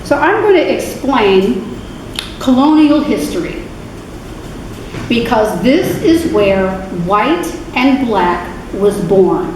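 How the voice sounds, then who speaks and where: A woman giving an informal history talk to an adult church class, unhurried, with many fillers and self-corrections. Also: fairly high pitch, very animated. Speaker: guest speaker at adult faith class. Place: church meeting room. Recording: very echoey, distant, noisy room recording.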